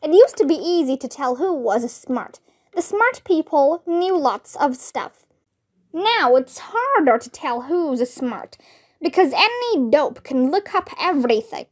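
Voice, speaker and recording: A woman reading a children's book aloud in an animated, expressive way. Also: high voice, measured pace. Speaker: storybook reader.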